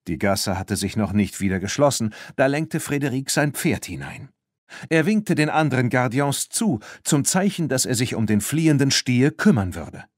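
A man narrating a children's story from a script in an expressive, animated way. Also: mid-range voice, no room sound, clean studio sound. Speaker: audiobook narrator.

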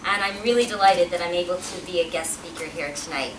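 A woman's voice: on the low side, fast talker, background noise. Woman, forties, guest speaker at a podium; roomy hall sound, mic at a distance. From Pakistan. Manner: chatty, engaging the audience with questions. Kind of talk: personal talk at a podium. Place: event hall with a backdrop, indoors. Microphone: podium mic, filmed from a distance.

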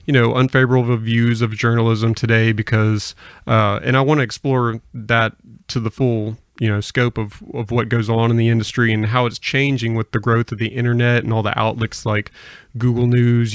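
A man's voice: mid-range voice, clear, resonant tone, no room sound, studio quality. A man hosting a podcast in a conversational interview style, explaining things in a rambling way. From US Gulf Coast. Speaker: podcast host.

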